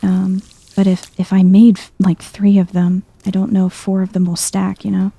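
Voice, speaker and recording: Very soft, mellow woman, gently husky. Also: mid-range voice, completely flat delivery, normal speed. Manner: chill, musing to herself. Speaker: video game streamer. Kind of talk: soft-spoken ASMR let's play commentary.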